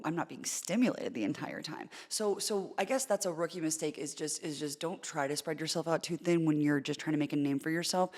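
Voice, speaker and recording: This is a woman of thirty with a deep, low voice. She works as a sports reporter and chats openly in an interview. She talks in a lively way, full of likes and quick restarts. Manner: animated, quick asides with filler likes and repeated starts. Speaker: sports reporter and in-arena host. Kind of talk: casual interview conversation.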